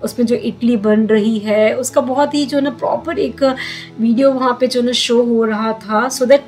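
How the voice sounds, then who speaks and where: A woman's voice: fairly high pitch, fairly even intonation, measured pace, music in the background. A woman in her forties vlogging on a phone held at arm's length, chatting casually and mixing in English phrases with lots of fillers. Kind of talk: casual vlog narration. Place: car and busy restaurant. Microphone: phone camera at arm's length.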